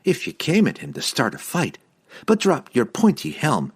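A man voicing a firm, sharp-tongued young woman in an audiobook, assertive and rustic in her speech. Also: on the high side, wildly swooping pitch, moderate pace, husky, pitched above the performer's own voice, heavily acted. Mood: wry.

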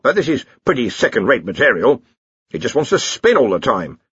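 A man's voice: medium pitch, very animated, brisk, a bit bright, breathy edge, dry recording, muffled recording, exaggerated voice. A man voicing a blustering, bossy older wizard who runs a university, brusque and dismissive, in an audiobook. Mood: brisk, scornful.

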